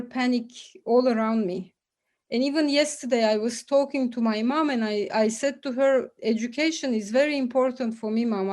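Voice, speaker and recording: A woman's voice: a little high, a little mellow. Reflective woman in her forties from Bulgaria. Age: 40s. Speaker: guest, professor and entrepreneur. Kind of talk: personal storytelling in interview.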